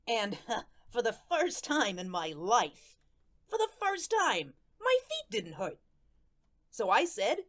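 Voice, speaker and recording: A woman playing a chatty, aggrieved ghost of a murder victim, telling the story in slangy, conversational radio drama style. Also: medium pitch. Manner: colloquial, ungrammatical, conversational storytelling. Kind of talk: first-person radio drama narration with acted dialogue.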